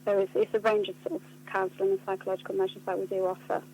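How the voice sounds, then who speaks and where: A woman answers interview questions in an earnest, articulate way. Her pitch stays fairly flat as she explains. There is a faint hum and noise on the line. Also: racing, muffled recording. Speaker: charity communications officer being interviewed. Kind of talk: spoken radio interview answers.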